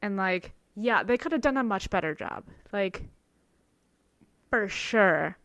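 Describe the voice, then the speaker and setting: Co-host, young woman from the East Coast, smooth clear voice, dry close sound, loose rambling delivery. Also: mid-range voice, animated, slow, a bit bright, no echo. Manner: rambling, filler-heavy. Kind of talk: casual chat.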